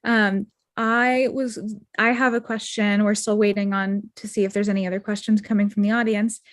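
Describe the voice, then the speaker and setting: A woman's voice: medium pitch, little pitch movement, moderate pace, no room sound, clean studio sound. A woman moderating a casual question-and-answer session over a video call, encouraging and a little hesitant in her phrasing. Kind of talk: casual post-show Q&A.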